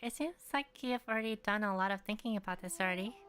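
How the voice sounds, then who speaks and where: A woman's voice: medium pitch, quick pace, a bit bright, soft background music. A woman giving casual gameplay commentary in an informal, blunt way. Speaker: streamer playing a game.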